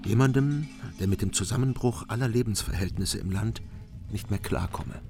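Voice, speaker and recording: A low, creaky male voice acted by a man plays a weary East German detective who likes a drink. He tells his case drily and tersely, in the manner of a radio crime drama. Music runs clearly behind him. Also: moderate pace, lightly acted. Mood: sober, observant. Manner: dry, laconic.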